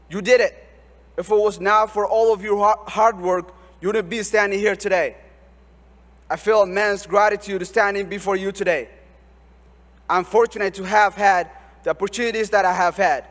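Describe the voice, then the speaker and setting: A young man giving an earnest, measured commencement speech as a student speaker in a large ceremony hall. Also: sits high, fairly bright tone. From Oromia, Ethiopia. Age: young adult. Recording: long hall reverb.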